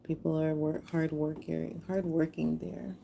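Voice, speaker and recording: Low, mellow, slow-talking American woman. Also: little pitch movement, vocal fry, a little hiss or hum. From Houston, Texas, USA. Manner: affectionate, appreciative, addresses viewers directly. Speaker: artist hosting a live art stream. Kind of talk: casual livestream chat with viewers while making art.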